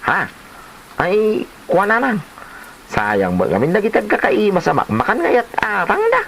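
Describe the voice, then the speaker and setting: A stubborn, excitable husband acted by a man in a comedy audio drama. He blusters and jokes with hugely animated swings of pitch, over a clearly noisy recording. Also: normal speed, full character voice. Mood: dismissive. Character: stubborn, joking husband who raids grave offerings. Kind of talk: comedy radio-style drama acting.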